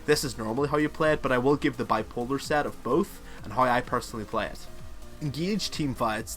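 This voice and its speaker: A man's voice: mid-range voice, fairly bright tone. Man, Northern Irish accent, young adult, casual game tutorial, rambling with fillers, faint music underneath. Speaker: game guide maker. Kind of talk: game strategy tutorial voice-over.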